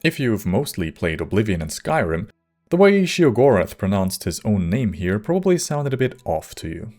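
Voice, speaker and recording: Casting: man for essay narration, fairly low, measured and wry, light music bed. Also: big pitch swings, a little mellow. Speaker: video essay host. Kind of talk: scripted narration. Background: faint music.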